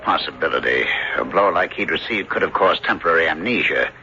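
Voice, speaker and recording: A man acting in an old-time radio drama as a calm, rational doctor with a measured, reassuring manner. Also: rumbling low, heavily acted. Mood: rational, dismissive. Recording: dull, hissy old radio recording.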